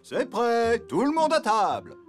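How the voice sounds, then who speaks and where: A man's voice: very high voice, wildly swooping pitch, a little slow, heavily acted. A man playing a good-natured, playful elephant father in a French cartoon. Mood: jovial. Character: good-natured cartoon elephant dad, jokey home cook. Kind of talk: French cartoon dub acting. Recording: music behind the voice.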